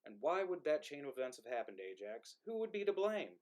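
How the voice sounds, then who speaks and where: A man's voice: on the high side, sing-song, brisk, a little mellow, rough recording. A man playing a dead soldier's ghost in a play reading, wry and resentful, with a conversational, needling delivery. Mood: sardonic. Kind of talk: play reading, modern drama.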